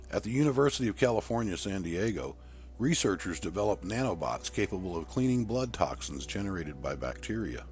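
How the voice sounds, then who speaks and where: Deep-voiced man reading a story over music. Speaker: narrator reading his own story. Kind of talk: scripted storybook narration.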